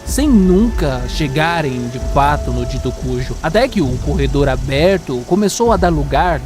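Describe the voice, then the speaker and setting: A man giving scripted third-person narration for an audio drama. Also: a little high.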